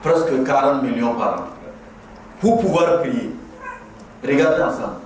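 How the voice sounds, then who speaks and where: A man's voice: medium pitch, animated, measured pace, slight background noise. Man, middle-aged, impassioned ceremonial speaker, repeats phrases for emphasis, very echoey hall. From Burkina Faso. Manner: impassioned, preaching with rhetorical repetition. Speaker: guest speaker at a mosque inauguration. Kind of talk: exhortative ceremonial speech. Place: large echoing prayer hall. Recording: very echoey hall, voice far from the mic.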